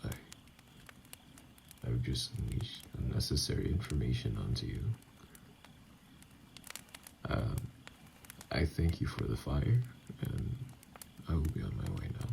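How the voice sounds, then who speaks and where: A man voicing a wounded, weary, distrustful vampire in an ASMR roleplay, speaking hesitantly in formal, guarded wording with a gravelly, sometimes breathy voice. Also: expressive, a little slow, pauses often, noisy background, full character voice. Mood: defeated, resigned. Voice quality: very deep, gravelly, breathy at times. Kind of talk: ASMR roleplay audio acting.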